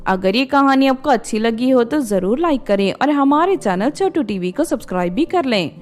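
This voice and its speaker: A woman storyteller with a fairly high voice narrates a scripted tale for children. Soft music plays beneath her reading. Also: expressive, normal speed.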